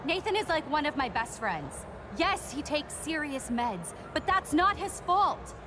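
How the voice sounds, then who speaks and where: A woman playing a proud, popular teenage girl in a video game, cool and self-assured with a guarded softer side. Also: high voice, sing-song, unhurried, noisy background. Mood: defensive. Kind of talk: video game cutscene dialogue.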